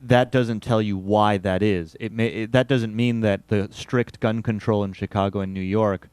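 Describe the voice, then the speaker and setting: A clean, smooth male voice, on the low side. He reasons analytically as a commentator, recorded dry and close. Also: fairly even intonation, normal speed, darkish tone, no room sound. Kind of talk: analytical discussion.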